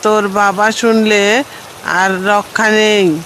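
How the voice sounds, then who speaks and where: Guy doing a worried village mom, high n flat, scolding, slow, cartoon story. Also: a little hiss or hum, poor audio. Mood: stern warning. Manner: stern, cautioning.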